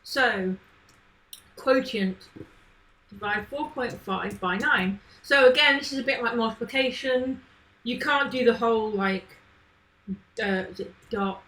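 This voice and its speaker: A woman's voice: on the high side, unhurried, pauses often, a bit bright, rough recording. Chatty woman live-coding on stream. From not from Manchester. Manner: chatty, thinks aloud, answers chat questions. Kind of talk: casual live-stream chat while coding. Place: home, living in Manchester.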